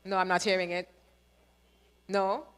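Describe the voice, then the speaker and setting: A woman's voice: medium pitch, level tone, a little slow, smooth. Woman hosting in an echoing hall. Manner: playful, chatty, asks the audience questions. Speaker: awards show host. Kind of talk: live awards ceremony hosting. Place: large hall. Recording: echoey hall with long reverb.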